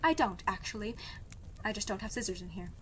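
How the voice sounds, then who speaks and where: A woman's voice: mid-range voice, lively intonation, a little fast, slight background noise, close to an everyday voice. A woman playing a stubborn, sardonic young princess in an audio drama, speaking in a dry, defiant way. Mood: sarcastic. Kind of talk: audio drama podcast acting.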